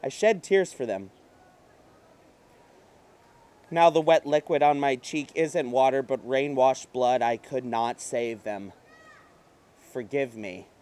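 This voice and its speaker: A man performing a dramatic horror story monologue as a terrified, exhausted madman, with breathy, halting, theatrical delivery. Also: mid-range voice, natural voice. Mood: hushed dread. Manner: breathy, halting, theatrical, swinging from hush to outburst. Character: terrified, exhausted madman narrator hunted by demons.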